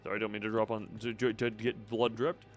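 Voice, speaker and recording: A man's voice: on the low side, little pitch movement, fast talker, faint music behind. A man giving casual live game commentary, thinking aloud with playful, quirky turns of phrase. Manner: playful, quirky word choices. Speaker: video game streamer.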